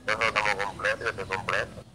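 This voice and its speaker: Low-voiced man on noisy speakerphone. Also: little pitch movement, fast, bright, forceful tone. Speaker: singer taking a booking call. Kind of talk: phone negotiation. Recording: speakerphone call with clear background noise.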